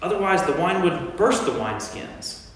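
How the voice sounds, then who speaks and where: A man playing Jesus as an earnest, firm teacher in a staged scripture reading, speaking deliberately and emphatically. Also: sits high, sing-song. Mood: instructive. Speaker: lay reader in a staged church reading. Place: large church interior. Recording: very echoey church, distant mic.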